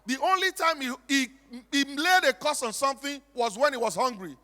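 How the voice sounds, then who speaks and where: A man's voice: very high voice, a little fast, sharp tone. A Nigerian-accented man speaking to an audience as a stage storyteller in a preaching style, emphatic and repetitive, often putting rhetorical questions to his listeners. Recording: echoey hall with long reverb.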